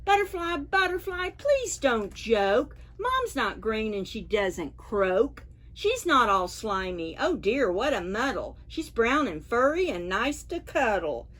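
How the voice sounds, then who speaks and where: A woman's voice: on the high side, sing-song, slow, few pauses, fairly bright tone, full character voice. A woman voicing an anxious, indignant little monkey child in a picture-book read-aloud for preschoolers. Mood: exasperated. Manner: indignant, emphatic protests.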